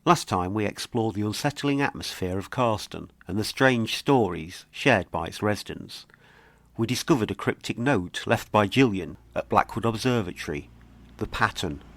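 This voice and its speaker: A man's voice: fairly low pitch, lively intonation, moderate pace, a bit bright, no echo. A man hosting and narrating a scripted true-crime mystery series. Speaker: podcast host and narrator.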